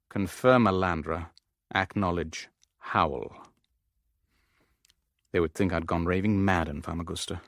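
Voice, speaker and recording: An actor playing a weary, shrewd shipping owner in a radio drama, speaking in a measured, clipped and deliberate way. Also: male voice, deep voice, vocal fry, no echo, studio quality. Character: weary, shrewd shipping owner narrating his own spy ordeal. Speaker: narrator and lead character. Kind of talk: radio drama, first-person narration and dialogue.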